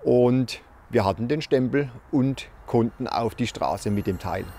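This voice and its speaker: A man's voice: mid-range voice, lively intonation, unhurried, warm tone, a little hiss or hum. A man giving a casual, unscripted account as a volunteer builder in an interview style. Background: background music.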